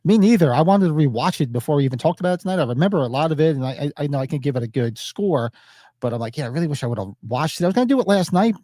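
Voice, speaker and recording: A man's voice: on the high side, expressive, very fast, dark tone, no echo, clean studio sound. A man co-hosting a casual horror podcast, sniffly from allergies, rambling with plenty of fillers. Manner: rambling, laid-back, lots of fillers. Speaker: podcast co-host.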